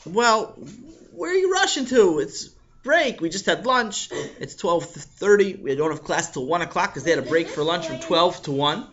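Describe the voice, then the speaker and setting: Sammy, a man doing a shrill pestering schoolboy. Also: big pitch swings, muffled recording. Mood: nagging. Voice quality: high, bright, loud. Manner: rapid, pestering, innocent. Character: pushy excitable schoolboy Sammy, loud.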